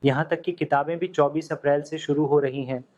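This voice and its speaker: Man with a fairly high voice giving a steady software tutorial. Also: brisk. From India. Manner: steady step-by-step instruction. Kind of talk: screen-recorded software tutorial. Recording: dull lo-fi audio.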